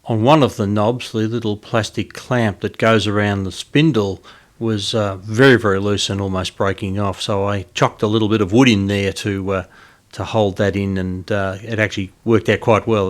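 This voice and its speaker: A middle-aged Australian man giving an informal narrated walkthrough as a hobbyist, explaining in a measured, hesitant way. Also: lowish voice. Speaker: hobbyist presenter.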